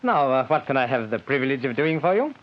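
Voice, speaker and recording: An actor playing a polite, hesitant insurance manager in 1950s radio drama style. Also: male voice, medium pitch, sing-song, fast, natural voice. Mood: courteous. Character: courteous middle-aged male insurance manager. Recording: muffled vintage radio transcription with hiss.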